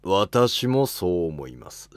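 A man's voice: sits low, very animated, slow, no echo, full character voice. A man voicing a loyal court aide in a historical drama, speaking respectfully and formally. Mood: earnest. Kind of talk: amateur historical drama dialogue.

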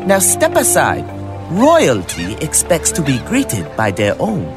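An actor playing a venomous, contemptuous royal Chinese admiral, imperious and sneering, in a theatrical game cutscene. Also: male voice, on the high side, huge pitch swings, takes it slowly, heavily acted. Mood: haughty, sneering. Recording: game audio with loud music behind.

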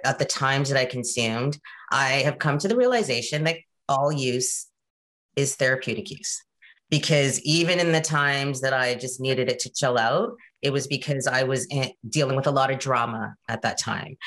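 A woman in her forties from Canada, talking casually on a video call, animated and chatty, often checking in with "right?" and "you know". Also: a bass voice. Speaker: guest presenter. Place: home room.

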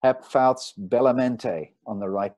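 A man, a sailing photographer, giving a relaxed, rambling slideshow talk over a video call. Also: mid-range voice, measured pace, no echo. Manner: rambling, relaxed, with fillers and restarts. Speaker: marine photographer giving a lecture. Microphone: video call mic.

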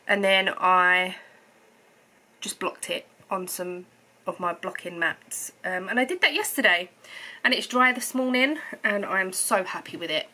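A woman, chatting to camera in her living room, a little hoarse from a cold, rambling with many ums and restarts. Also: mid-range voice, sharp tone. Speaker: knitting vlogger. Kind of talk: casual chat to camera.

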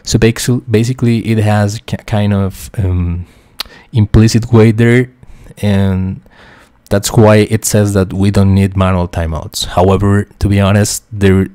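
A young man from Costa Rica with a Spanish accent, a QA engineer talking through a software tutorial with many fillers and restarts. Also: fairly low pitch, level tone, unhurried, no room sound. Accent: Costa Rican Spanish. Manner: hesitant, many fillers and restarts, quietly talks to himself. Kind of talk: screen-share tutorial explanation.

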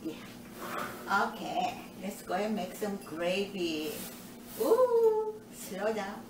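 An older woman with as her talks slowly and cheerfully through a recipe in her home kitchen. She is caught by a camera set a little way off, so her voice carries a roomy, distant ring with steady household noise behind it. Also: medium pitch. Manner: cheerful, explains step by step with nutrition asides. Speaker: cooking show host. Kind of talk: home cooking demonstration. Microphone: far camera.

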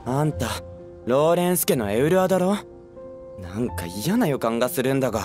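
Irritable young townsman with an animated delivery male actor. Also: mid-range voice, a little fast, faint music behind, close to an everyday voice. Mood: wary. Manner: curt, blunt, scornful. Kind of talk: anime game voice acting.

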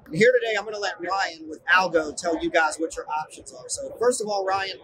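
A man's voice: high-pitched, a little fast. A man hosting an on-location interview at a busy trade show. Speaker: interviewer, channel host. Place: busy indoor trade show exhibit hall. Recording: clear trade show hall noise. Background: convention hall ambience.

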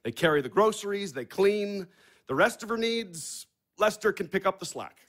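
American money manager, slightly high voice, dry, blunt, needling, stage drama. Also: male voice, expressive, normal speed, fairly bright tone. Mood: brisk, persuasive. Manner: dry, needling, matter-of-fact. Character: blunt, sardonic money manager, adopted son. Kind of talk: naturalistic stage-play acting.

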